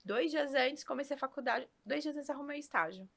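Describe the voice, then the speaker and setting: A woman, telling her career story as a podcast guest in lively casual chat with quick laughs. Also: high voice, gravelly. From Rio Claro, São Paulo state, Brazil. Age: 40s.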